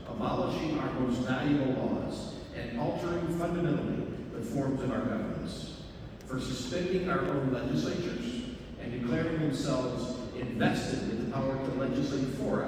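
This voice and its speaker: A man reads a historical document aloud in a formal style. He is heard from far off in a very reverberant hall, with clear background noise. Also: mid-range voice. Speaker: reader at a public event. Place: large echoing hall at a statehouse. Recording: echoey hall from far off, noisy.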